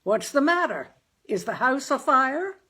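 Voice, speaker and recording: A woman voicing a gruff, dry-witted older New England father with a terse, husky delivery, in a story reading. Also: sits high, sing-song, measured pace, pushed and bright, pitched above the performer's own voice, heavily acted. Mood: sarcastic. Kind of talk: audiobook character dialogue.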